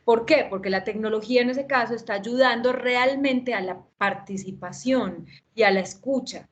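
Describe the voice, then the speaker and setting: A woman's voice: on the high side, moderate pace, slight background noise. A woman who works in public relations, explaining her work with examples on an academic panel. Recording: dull lo-fi sound.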